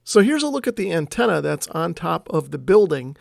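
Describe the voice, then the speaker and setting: A man's voice: on the high side, big pitch swings, brisk, vocal fry, background noise. A man narrating a casual vlog in an informal, explanatory way, thinking aloud as he goes. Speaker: vlogger, radio hobbyist.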